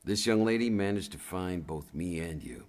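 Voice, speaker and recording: Man acting a deep-voiced, gruff veteran officer villain. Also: normal speed, darkish tone, natural voice. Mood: brisk, familiar. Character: tough veteran army officer turned smug, treacherous villain. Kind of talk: dramatic video game acting.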